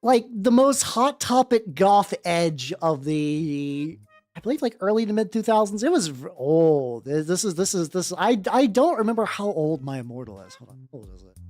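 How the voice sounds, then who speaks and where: A livestream host with a very animated, swooping delivery and a mid-pitched voice, recorded dry and close. The talk is casual and rambling, full of slang, swearing and repeated phrases, with music playing faintly behind. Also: normal speed, no room sound. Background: background music.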